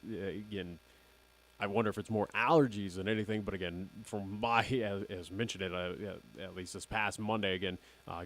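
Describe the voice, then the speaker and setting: A young man hosting a radio show in casual chat, slightly raspy and nasal, rambling and repeating "again" constantly. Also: on the low side. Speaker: radio host.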